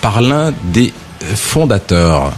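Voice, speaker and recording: Male radio host, mid pitch, upbeat and halting, faint music underneath. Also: sing-song. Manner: upbeat, hesitant with fillers and restarts. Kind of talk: live radio interview.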